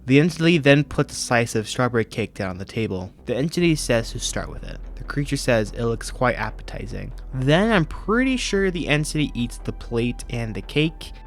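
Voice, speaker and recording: A man's voice: mid-range voice, animated, normal speed, few pauses, faint music behind. A man hosting a video review, narrating and commenting from a script. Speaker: YouTube host reviewing horror videos.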